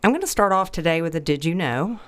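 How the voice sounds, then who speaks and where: A woman's voice: fairly low pitch, animated, fast talker, no room sound. A woman hosting a podcast interview, slightly stuffy, speaking in an empathetic, probing way. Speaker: podcast host.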